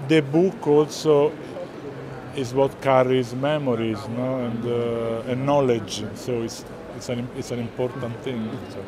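A middle-aged man with an Italian accent explaining artworks to visitors, pausing often to search for words. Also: medium pitch, fairly even intonation. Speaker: art gallerist presenting works. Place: large indoor art fair hall. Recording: busy hall crowd noise.

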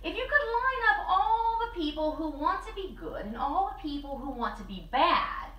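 Expressive, high-pitched woman reading a children's story. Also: normal speed, muffled recording. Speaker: co-host reading a picture book. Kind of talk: animated children's story read-aloud. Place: small living room with fireplace and bookshelves. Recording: roomy, slightly distant camera mic.

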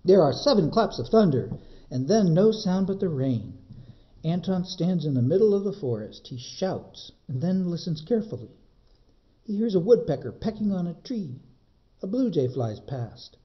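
A man reads a children's story aloud like a librarian at story time. His pitch moves up and down a lot, with dramatic, measured phrasing. The sound is a little muffled. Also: very animated. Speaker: librarian reading a children's book. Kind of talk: expressive storybook narration.

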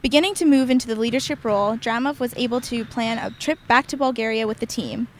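A woman's voice: on the high side, quick pace, a little hiss or hum. Young woman reporter reading news. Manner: even, read-aloud delivery. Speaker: campus TV sports reporter. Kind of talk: scripted news narration. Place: indoor gym. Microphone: handheld mic.

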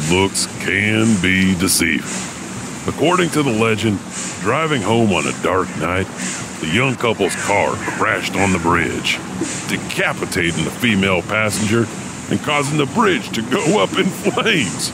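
Casting: man playing macabre janitor storyteller, low and unhurried, darkly wry, horror audio drama. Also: background noise, full character voice. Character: mysterious, macabre male janitor horror host. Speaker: host and narrator. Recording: loud rumbling ambience behind voice.

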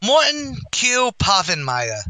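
Man acting blustering uncle, high, piercingly bright voice. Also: huge pitch swings, slow pace, dry recording, full character voice. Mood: hearty, avuncular. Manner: folksy, ungrammatical, bluffing wise-guy delivery. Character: blustering, boastful, uneducated tavern manager uncle. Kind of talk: old-time radio comedy acting.

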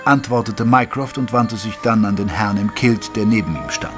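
A man playing an earnest gentleman companion who narrates his adventure in an audio drama, in measured literary storytelling. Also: fairly low pitch, steady tone, natural voice. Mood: observant. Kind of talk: scripted first-person audio drama narration. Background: music.

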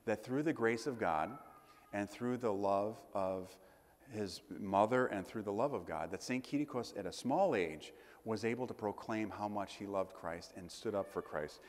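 A middle-aged man, a priest, giving a conversational sermon in a church, often pausing with fillers and restarting phrases. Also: fairly low pitch. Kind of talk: sermon to a congregation. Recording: long church reverb.

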